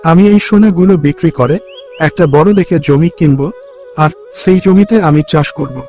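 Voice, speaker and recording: A man's voice: on the high side, normal speed, mellow, soft background music, lightly acted. A man voicing a humble, obedient young village son in a cartoon, speaking earnestly. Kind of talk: Bengali cartoon voice acting.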